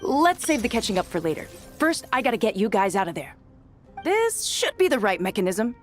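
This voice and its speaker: A woman's voice: medium pitch, very animated, brisk, natural voice. Woman as breezy young desert mercenary. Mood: teasing. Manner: casual, cocky, offhand. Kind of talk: video game character dialogue. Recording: faint game music behind the voice.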